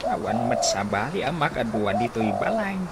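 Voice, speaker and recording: A woman's voice: rumbling low, sing-song, moderate pace. A woman with an Ilocano accent playing a teasing elderly parent in a radio comedy drama. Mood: nagging. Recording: noisy farmyard sounds with crowing rooster.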